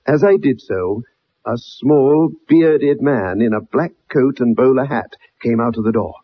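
In a muffled old radio drama, a dogged young ex-soldier. His husky, mid-pitched voice tells the story earnestly, with formal phrasing and a dramatic touch. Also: a little slow. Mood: earnest recounting. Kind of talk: 1960s radio drama acting.

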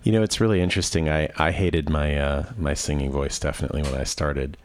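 Very deep-voiced chatty radio host. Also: male voice, steady tone, fast. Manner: curious, chatty, strings questions together. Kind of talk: casual interview chat.

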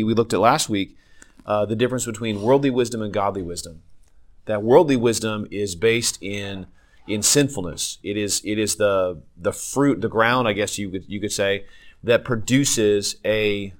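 A man who is a pastor and podcast host, teaching a Bible lesson with a sniffle from a cold, often pausing and restarting his sentences. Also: on the low side. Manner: halting, many fillers and restarts.

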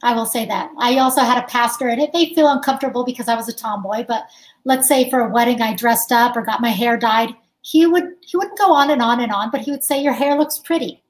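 A woman's voice: a little high, brisk, rough recording. A woman guest in an interview, talking casually and chattily, laughing often and saying "like" a lot. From United States. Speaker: guest musician.

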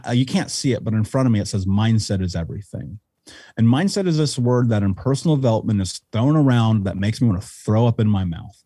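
A blunt, intense man speaks as a podcast guest and swears freely. His voice is mellow and a little husky. It sounds dry and close on the microphone. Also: fairly low pitch, dry recording. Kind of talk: casual podcast conversation.

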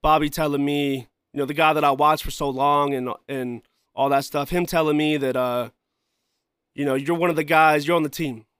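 A man talks loosely into a close home mic, circling back on his words and filling gaps with "you know" and "man." His voice sits a little high, with a throat that keeps catching. The sound is dry, with no room around it. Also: steady tone, moderate pace, fairly bright tone, smooth, no echo. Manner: loose, rambling, repeats himself, frequent "you know" and "man". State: throat trouble, coughing and clearing throat. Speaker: podcast host and musician. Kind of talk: casual solo podcast talk.

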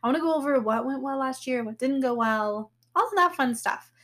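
Hoarse, chatty woman podcast host. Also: high voice, a little fast, fairly bright tone. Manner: chatty and candid, with frequent fillers and laughs. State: slightly hoarse, recovering her voice. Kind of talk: casual personal podcast talk.

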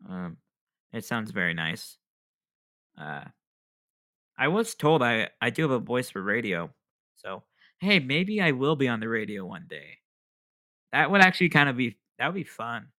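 A man's voice: mid-range voice, very animated, moderate pace, pauses often, pushed and bright. A young man hosting his own podcast, talking in a rambling, self-deprecating way and laughing often. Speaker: podcast host. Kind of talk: casual solo podcast chat.

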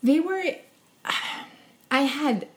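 A woman's voice: high voice, animated, drawn-out words. A woman podcast co-host talking casually and personally, effusive and emphatic, dropping "like" into nearly every thought. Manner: effusive, emphatic, says "like" constantly.

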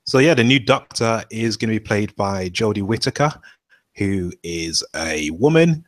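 A man's voice: lowish voice, expressive, normal speed, no echo. A man co-hosting a podcast, giving his opinions in a loose, conversational way. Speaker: podcast co-host. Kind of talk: casual podcast chat.

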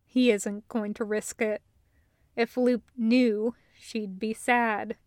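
A woman reading a story as scripted narration, with measured, deliberate phrasing. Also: fairly high pitch, level tone, darkish tone, no room sound, studio quality. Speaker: audiobook-style fiction reader.

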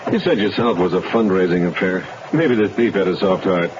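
An actor playing a brusque, sardonic police detective in a 1970s radio drama. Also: male voice, sits low, animated, a little fast. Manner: brusque, dry. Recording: dull noisy 1970s radio broadcast.

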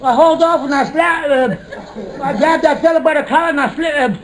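A man's voice: extremely high pitch, moderate pace, crowd noise. A man playing a timid, flustered little barber with a heavy stammer in a 1950s radio comedy. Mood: indignant, tongue-tied. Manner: heavy repeated stammer, trips over words.

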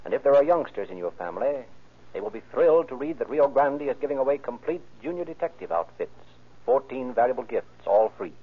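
A man's voice: mid-range voice, expressive, fairly quick, breathy edge. An American man announcing and narrating from a script in 1930s old-time radio style. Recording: muffled, band-limited old radio recording.

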